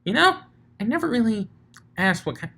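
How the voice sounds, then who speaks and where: A man's voice: sits high, sing-song, normal speed, creaky, pushed and bright, pitched above the performer's own voice, full character voice. A man voicing a hyper, cheerful female pony with a bubbly, teasing manner in a storybook reading. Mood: giddy, playful.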